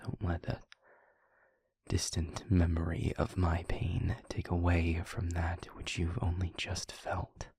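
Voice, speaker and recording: A man playing a weary, brooding but protective demon in an intimate audio roleplay, speaking in a husky half-whisper with halting, formal phrasing. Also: deep voice, completely flat delivery, darkish tone, no echo, full character voice. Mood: protective, self-blaming. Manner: slow, halting, formal phrasing with heavy pauses. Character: weary, brooding male demon, gravely guarded yet protective.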